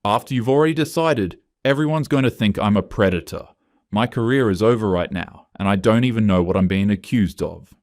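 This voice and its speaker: A man acts out a desperate male office worker in a story. His deep voice is agitated and pleading, full of bewilderment at being accused. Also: brisk, darkish tone, no echo, clean studio sound, close to an everyday voice.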